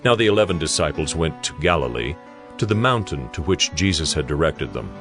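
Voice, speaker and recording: A man narrates scripture in a very deep register. His delivery is solemn and measured, storytelling in a dramatized style, with music playing clearly behind him. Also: big pitch swings, fairly bright tone.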